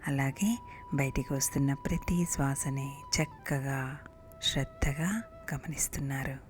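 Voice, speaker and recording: A woman guides a meditation. She speaks softly and breathily, at a slow, soothing pace. Music plays behind her. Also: rumbling low.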